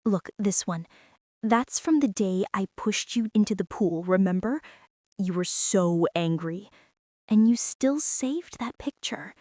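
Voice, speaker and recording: A woman playing a young former bully in an intimate ASMR roleplay, speaking in a hushed, hesitant way that often slips toward a whisper. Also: medium pitch, no room sound, studio quality. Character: young female former bully, stunned, teasing, softening into tenderness.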